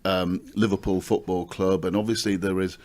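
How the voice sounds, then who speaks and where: Low-voiced radio interviewer, conversational. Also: male voice, level tone, moderate pace, a little mellow. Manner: conversational, leading questions. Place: recording studio.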